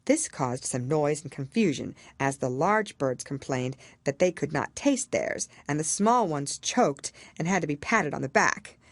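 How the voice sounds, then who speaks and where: A woman reading a children's story aloud as an expressive audiobook narrator. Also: low voice, unhurried, few pauses, dry recording. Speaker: audiobook reader.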